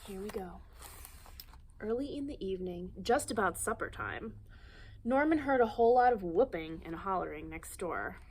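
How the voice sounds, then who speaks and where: A woman's voice: medium pitch, animated, normal speed, darkish tone. Female storytime reader, noisy recording. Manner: informal, conversational asides to young listeners. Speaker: library storytime host reading picture books aloud. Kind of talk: children's storytime read-aloud with chatty asides.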